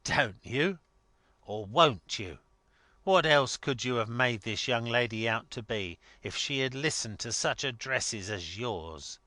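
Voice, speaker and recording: A man's voice: medium pitch, lively intonation, slow pace, pushed and bright, dry recording. A man voicing a blustering, scornful older rich man in a dramatic story reading, emphatic and mocking. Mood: sneering.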